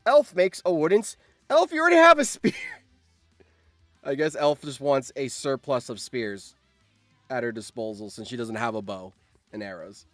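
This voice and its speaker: Animated man commentating, lively swinging pitch. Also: normal speed, long pauses, dry recording, faint music behind. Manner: animated, breaks into surprised exclamations. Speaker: streamer host. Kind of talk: excited live commentary, reading out game results.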